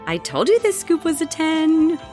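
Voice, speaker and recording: A woman playing an excitable, bold young roommate who spins gossip dramatically in a horror audio drama. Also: high-pitched, unhurried, soft background music. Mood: thrilled, scheming. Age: young adult. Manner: animated, teasing, dramatic storytelling.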